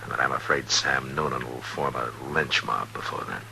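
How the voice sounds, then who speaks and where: Very deep gravelly Old West lawman. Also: normal speed, bright, forceful tone, heavily acted, low-quality recording. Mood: grave, determined. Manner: terse, authoritative. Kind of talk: 1950s radio western acting. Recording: hissy, humming 1950s radio recording.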